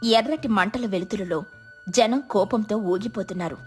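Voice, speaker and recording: A woman's voice: medium pitch, normal speed, soft background music. A woman storyteller giving scripted, dramatic narration of a village tale.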